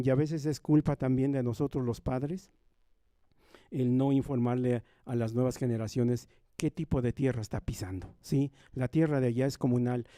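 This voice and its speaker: A man's voice: mid-range voice, dark tone, no room sound, studio quality. A middle-aged man from Milpa Alta, a native Nahuatl speaker with a Mexican Spanish accent, giving an earnest public talk that often checks in with the audience. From Milpa Alta, Mexico City. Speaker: invited community speaker.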